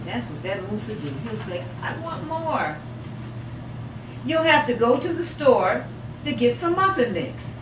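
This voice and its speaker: A woman teacher reading a picture book aloud to young children, asking questions, repeating their answers encouragingly and stretching words for emphasis. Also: fairly high pitch. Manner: expressive, drawn-out emphasis on story words. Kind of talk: interactive read-aloud storytelling with questions. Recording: echoey room, distant mic, loud background noise.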